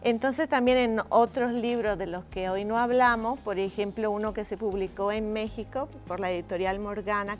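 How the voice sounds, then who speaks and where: A middle-aged woman from Venice, a native Italian speaker, talking reflectively as an interviewed writer, expressive and often pausing to search for words. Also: medium pitch. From Venice, Italy.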